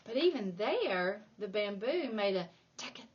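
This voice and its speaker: A woman reading a children's storybook aloud like a primary school reader, with dramatic emphasis and drawn-out key words. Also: medium pitch, sing-song, slightly rough, muffled recording. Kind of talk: expressive children's storybook narration.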